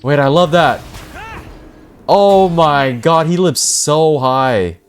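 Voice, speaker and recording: A man's voice: a little high, slow, smooth, faint music behind. A man streaming gameplay, reacting casually with slangy exclamations and muttered asides to his chat. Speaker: game streamer.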